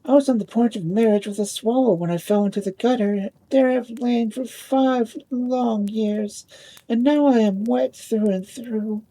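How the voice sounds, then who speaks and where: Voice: mid-range voice, moderate pace, mellow, slight background noise, voice pushed up, close to an everyday voice, low-quality recording. A storyteller voicing a vain, prim young lady ball in a fairy tale, with an airy, self-regarding manner. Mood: plaintive, self-pitying.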